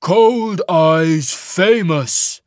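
A man voicing a massive, mournful giant who speaks in halting, broken phrases with a rumbling voice, in a fantasy audiobook. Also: no echo, clean studio sound. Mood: surprised. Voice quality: deep rumbling voice. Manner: slow, halting, clipped primitive phrases.

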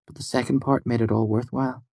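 A man voicing a playful, sharp-tongued young woman in an audiobook, with a huffy, theatrical, teasing manner. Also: medium pitch, little pitch movement, fast talker, vocal fry, husky, no room sound, studio quality, voice pushed up.

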